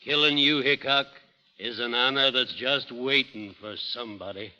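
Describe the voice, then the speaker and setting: Sharp, sly Western outlaw. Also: male voice, medium pitch, steady tone, normal speed. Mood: sly, needling. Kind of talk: 1930s radio acting. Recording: old muffled radio recording.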